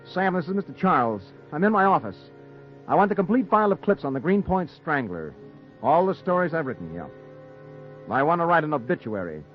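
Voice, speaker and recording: An actor playing a haunted newspaper reporter with a secret killing, in a brooding 1940s noir radio drama. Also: male voice, fairly high pitch, very animated. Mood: curt, businesslike. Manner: brooding, deliberate first-person storytelling. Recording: muffled 1940s radio recording, music behind.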